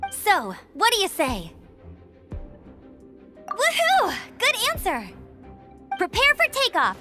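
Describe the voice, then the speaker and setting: A woman's voice: very high-pitched, huge pitch swings, slow pace, sharp tone, faint music behind, exaggerated voice. A woman voicing a bold, perky young female scout knight in a video game, with an eager, decisive delivery. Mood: eager, confident.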